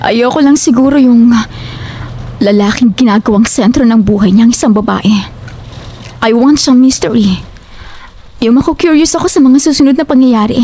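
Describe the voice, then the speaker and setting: A woman's voice: fairly high pitch, moderate pace, pauses often, dark tone, slight background noise, close to an everyday voice. A woman with a Filipino accent acting a firm, fed-up young woman in an audio drama. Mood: dismissive. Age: young adult.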